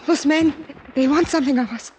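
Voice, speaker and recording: An actress playing a gentle young wife, speaking softly and tenderly in a 1950s radio drama. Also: female voice, high voice, brisk, heavy background noise, lightly acted. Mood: alarmed.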